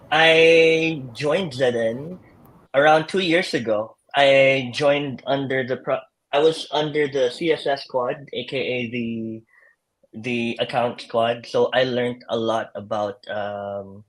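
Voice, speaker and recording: A young man on a video call as a guest, talking in a relaxed way about his experience as an intern and often saying "like". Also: medium pitch, low-quality recording. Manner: relaxed, lots of "like" and restarts. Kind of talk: casual live-stream chat. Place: home, Madrid.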